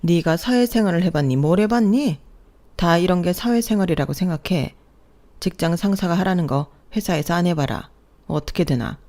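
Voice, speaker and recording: A woman's voice: on the low side, normal speed, natural voice. A woman voicing a domineering elderly mother-in-law who scolds and orders her daughter-in-law around. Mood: scolding. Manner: sharp, scolding, commanding.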